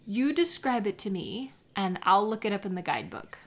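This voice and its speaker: A woman acts out a female best friend in a lighthearted audio roleplay. Her voice sits at a middle pitch, chatty and natural, with small hesitant pauses. She comes across as warm, gently encouraging and fond of teasing. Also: lively intonation. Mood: curious, delighted. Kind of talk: lighthearted intimate audio roleplay, one-sided conversation.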